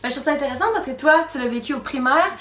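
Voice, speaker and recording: A young woman with a Quebec French accent co-hosting a casual chat, jumping in quickly. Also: sits high. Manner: quick, lively interjections. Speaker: co-host. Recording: echoey room with faint music.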